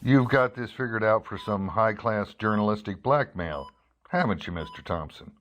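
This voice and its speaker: Man playing a low-voiced, dry newspaper reporter. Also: lively intonation, moderate pace, fairly bright tone, a little hiss or hum. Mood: dry, probing. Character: persistent, dry-witted male investigative newspaper reporter. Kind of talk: old-time radio drama, first-person narration and dialogue.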